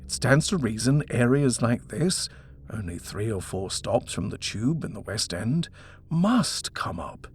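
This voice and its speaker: A man's voice: mid-range voice, animated, a little slow, a little hiss or hum. A man voicing an eager young husband in a story, persuasive and indulgent as he talks up a house. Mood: coaxing, persuasive. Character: eager, coaxing young British husband, smooth-talking house-hunter. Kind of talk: storybook character reading.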